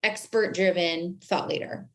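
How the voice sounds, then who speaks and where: A woman's voice: fairly low pitch, steady tone, unhurried, poor audio. An American woman speaking as an interview guest and branding coach, explaining emphatically and posing rhetorical questions.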